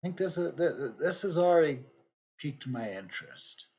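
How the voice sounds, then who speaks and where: A man giving casual playthrough commentary as a game streamer, thinking aloud with halting repetitions. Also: on the high side, big pitch swings, a little mellow, breathy edge.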